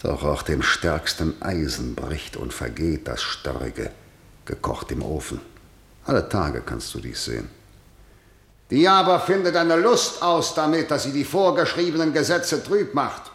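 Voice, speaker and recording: A stern, imperious king in a radio play. His voice is fairly low and he declaims very slowly, weighing his words. The room around him echoes. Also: big pitch swings, vocal fry, a bit bright, full character voice. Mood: indignant. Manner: deliberate, declamatory. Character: stern, imperious king interrogating a defiant prisoner. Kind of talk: verse radio drama acting.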